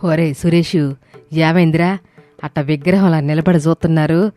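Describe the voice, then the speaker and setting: A woman's voice: deep voice, fairly even intonation, moderate pace, mellow, no echo, close to an everyday voice. A woman playing an inquisitive village mother in a story. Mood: concerned.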